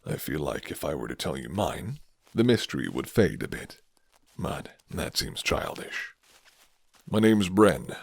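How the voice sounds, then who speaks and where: A man voicing a gruff, flirty but guarded werewolf in an intimate, murmured ASMR roleplay, with teasing pauses. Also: very animated, dry recording, heavily acted. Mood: low, teasing. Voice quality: deep, low and gravelly.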